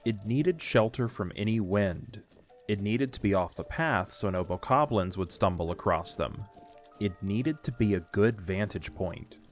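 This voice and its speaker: A man narrates an audio story in a low voice. He reads scripted prose, with music playing faintly underneath. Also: lively intonation, unhurried.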